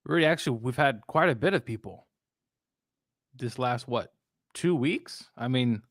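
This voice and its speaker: A man co-hosting a casual sports chat, talking in a reflective, halting, conversational way. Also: medium pitch, expressive, fairly bright tone, dry recording, studio quality. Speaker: sports show co-host.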